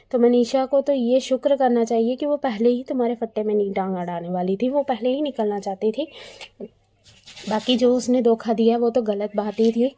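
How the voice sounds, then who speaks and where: Female online commentator, soft-edged voice on the higher side, chatty and opinionated, retelling gossip casually. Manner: opinionated, conversational, repeats phrases for emphasis. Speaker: YouTube commentator.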